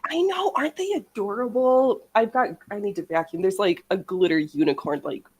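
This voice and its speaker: A woman's voice: medium pitch, animated, moderate pace, a little mellow, breathy edge. A woman hosting a casual crafting livestream, chatting in a rambling, easily sidetracked way. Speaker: livestream host of a sewing and crafting show.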